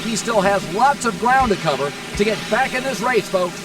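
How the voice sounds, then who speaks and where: Excitable folksy race announcer for a video game, really high voice, bit far from mic. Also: male voice, moderate pace, heavy background noise. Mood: excited.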